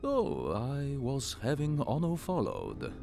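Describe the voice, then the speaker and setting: An actor voicing a sly, self-important alien senator in an animated series, with a pompous, theatrical delivery. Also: male voice, mid-range voice, takes it slowly, gravelly, heavily acted. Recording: music behind the voice.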